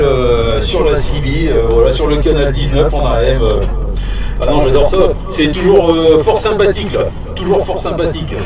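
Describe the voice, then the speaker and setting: A man chatting casually over CB radio from a car, hesitant and repeating his phrases, using radio slang. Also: fairly high pitch. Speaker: CB radio operator. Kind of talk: casual two-way CB radio chat. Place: in a moving car. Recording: distant echoey in-car recording, loud road noise. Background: loud vehicle and outdoor noise.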